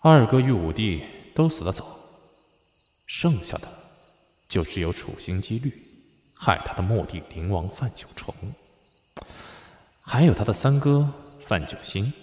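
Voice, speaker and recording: A man's voice: on the low side, big pitch swings, slow pace, pauses often, darkish tone, slight background noise. A man acting an earnest, theatrical prince regent in a Chinese costume audio drama. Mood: musing. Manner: theatrical, with sighs and exclamations. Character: male prince regent, time-traveller in a nobleman's body, earnest, dramatic. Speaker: amateur voice actor.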